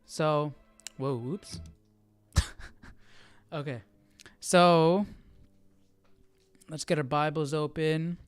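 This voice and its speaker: A man hosting an informal Bible study, teaching casually and thinking aloud with halting, repeated phrases. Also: a little high, steady tone, smooth, no room sound, soft background music. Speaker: host of a Bible study live stream.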